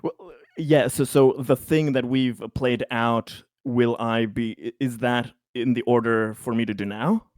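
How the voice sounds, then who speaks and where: A man with a medium-pitched voice improvises tabletop roleplay in a casual tone. He speaks haltingly, stammering and restarting as he narrates what his character does. The sound is dry and close. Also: steady tone, a little mellow, no room sound. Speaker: tabletop RPG player.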